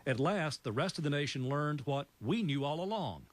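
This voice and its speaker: A man, a news anchor reading a tribute in a measured way. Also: on the high side, animated, no echo. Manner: warm, measured tribute delivery. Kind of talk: broadcast news narration.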